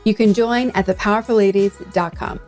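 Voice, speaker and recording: Female podcast host, medium-pitched voice, introducing and interviewing guests over some music. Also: fairly even intonation, brisk.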